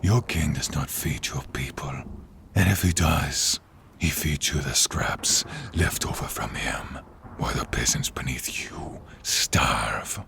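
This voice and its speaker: A man voicing a contemptuous, menacing undead warlord with a gravelly, breathy rasp in a scripted fantasy roleplay. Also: a bass voice, a bit bright, whispered, heavily acted. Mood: bitter scorn. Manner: menacing, slow, theatrical. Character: contemptuous undead male warlord with a bitter grudge against knights.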